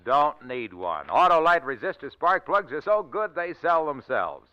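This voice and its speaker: A man delivering an emphatic, salesman-like sponsor pitch as a 1940s radio announcer. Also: very animated, a little slow, sharp tone. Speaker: commercial announcer. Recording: dull old radio recording.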